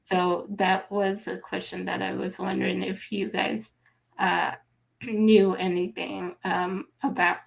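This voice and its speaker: A woman hosting a live online interview, speaking in an unhurried, halting way as she reads viewer comments aloud. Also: medium pitch, flat delivery, vocal fry, rough recording. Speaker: livestream host.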